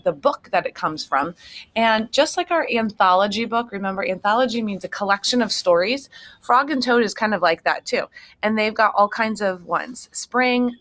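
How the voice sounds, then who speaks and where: A woman reading a story aloud to children like a teacher, pausing to explain things simply and check in with her listeners. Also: medium pitch, fairly bright tone. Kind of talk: read-aloud storytelling with asides to young listeners. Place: outdoors on a front porch. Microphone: computer propped on a chair.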